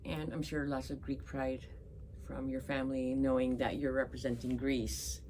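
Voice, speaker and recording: Woman host with a very low voice, curious and friendly, faint hiss. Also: little pitch movement, normal speed, darkish tone. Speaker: podcast host. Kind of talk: casual interview questions.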